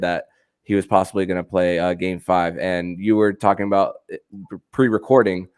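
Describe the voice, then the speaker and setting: A man hosting a casual sports podcast, talking in a halting way that often stammers and repeats words and phrases. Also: low voice, smooth, no room sound, clean studio sound.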